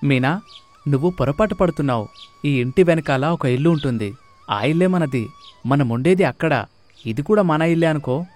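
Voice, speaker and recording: Man playing a high-pitched, placating young husband. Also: animated, normal speed, long pauses, darkish tone, close to an everyday voice. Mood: explaining. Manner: reassuring, explanatory. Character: thirty-year-old husband, placating, cautious son. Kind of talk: animated moral story dialogue.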